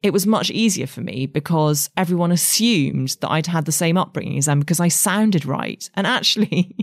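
English woman in her 40s, deep voice, talking it through slow and honest, dry sound. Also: animated, vocal fry, dry recording. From England. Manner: thoughtful, candid, measured. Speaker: writer and journalist guest. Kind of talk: reflective interview conversation.

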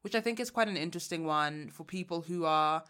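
A woman's voice: sits low, fairly quick, a bit bright. A woman from Uganda, co-hosting a podcast in casual chat, talking candidly and thinking aloud with fillers and restarts. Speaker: podcast co-host.

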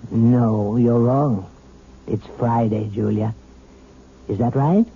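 An actor playing a calm male hypnotist who talks in a soft, measured, persuasive way, in a 1970s radio drama. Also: mid-range voice, creaky, slight background noise. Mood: coaxing. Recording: tinny old radio broadcast with music.

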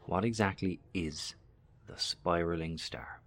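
A man playing a polite, cautious skeptic in a horror audio drama, soft-spoken, courteous and hesitant. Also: low voice, measured pace, full character voice. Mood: careful, probing.

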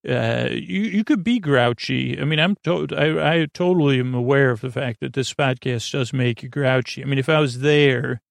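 A man hosting a bedtime podcast in a meandering, hesitant monologue, often interrupting himself, with a gravelly, creaky voice. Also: medium pitch, normal speed, hardly any pauses, no echo, clean studio sound. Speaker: podcast host.

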